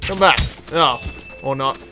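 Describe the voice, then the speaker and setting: A man's voice: medium pitch, a little slow. A man hosting a gaming video with casual, jokey, self-mocking commentary, exclaiming and talking to the characters in the game. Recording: music playing behind the voice. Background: music.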